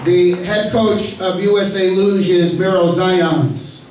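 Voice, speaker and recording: A man with a fairly high voice announces names and jokes with the panel like a friendly emcee. He is caught from a distance in a cavernous, echoing hall, with steady background noise. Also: over a noisy crowd. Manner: genial, joking, lists names with pauses. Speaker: press conference host. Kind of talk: announcing and moderating a press conference. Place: large echoey indoor hall. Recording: echoey hall recorded from far off, noisy.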